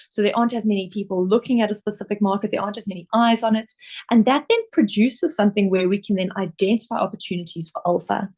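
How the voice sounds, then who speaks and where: A woman with a South African accent, an investment director giving a webinar presentation over slides in a measured, explanatory way with occasional ums. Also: medium pitch, darkish tone.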